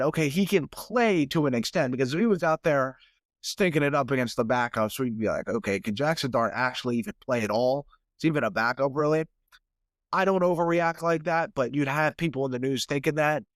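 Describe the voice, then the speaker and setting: A man's voice: a little high, dry recording, studio quality. A young man with a stuffy nose hosting a solo sports podcast in a casual, rambling way, often repeating words as he restarts. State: stuffy from sinuses. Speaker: podcast host.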